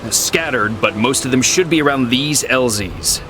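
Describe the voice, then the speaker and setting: A man's voice: mid-range voice, normal speed, background noise, lightly acted. An actor playing a dutiful army scout reporting to his captain in a game cutscene. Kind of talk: video game cutscene acting.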